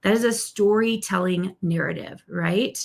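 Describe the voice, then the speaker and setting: A middle-aged woman hosts a live marketing lesson, upbeat and chatty, often checking in with her viewers. Her voice sits at an ordinary middle pitch, and she says she is losing her voice. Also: measured pace, creaky. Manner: upbeat, chatty, with frequent "okay?" and "right?" check-ins. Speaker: marketing coach hosting a live stream. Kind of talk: live-stream teaching.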